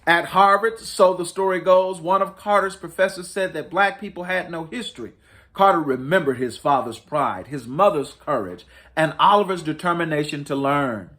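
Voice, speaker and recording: A man reading a storybook aloud to children as host, in an expressive, deliberate and emphatic storytelling manner. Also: a little high. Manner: deliberate, emphatic storytelling with dramatic rises and falls.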